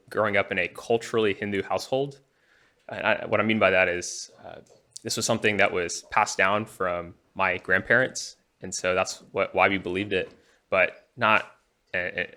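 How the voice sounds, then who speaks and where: A young man from Alabama in the United States giving a personal testimony, often halting and restarting his phrases. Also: low-pitched, pushed and bright. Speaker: church member giving testimony.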